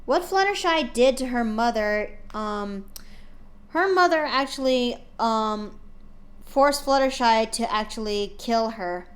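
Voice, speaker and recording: A woman streamer talking casually with her chat and narrating a fanfic, often halting with fillers and restarts. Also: a little high, lively intonation.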